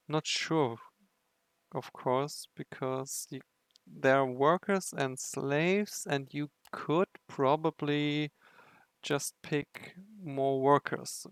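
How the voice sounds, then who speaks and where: A man's voice: mid-range voice, creaky, clear, resonant tone, no room sound. A man giving casual playthrough commentary on a game, speaking in a hesitant way as he thinks aloud. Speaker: game video narrator and player.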